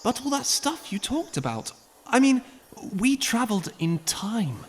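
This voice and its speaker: A man's voice: extremely high pitch, very animated, measured pace, voice pushed up, exaggerated voice. Wide-eyed young man voiced by a man, eager and jumpy, faint background noise.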